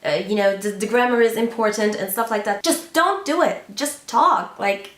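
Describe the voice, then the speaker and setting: Female vlogger, 20s, native Turkish speaker, casual and frank, slightly high voice, roomy echo. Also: normal speed, fairly bright tone. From Turkey. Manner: chatty, candid, with frequent fillers and non-native grammar. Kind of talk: casual talk to camera.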